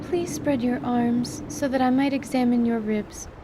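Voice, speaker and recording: Placid female surgeon for fantasy audio drama woman actor, high-pitched, formal, precise bedside manner, faint noise behind. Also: steady tone, unhurried, lightly acted. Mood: earnest, inquisitive. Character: female field healer, placid, clinical and earnestly inquisitive.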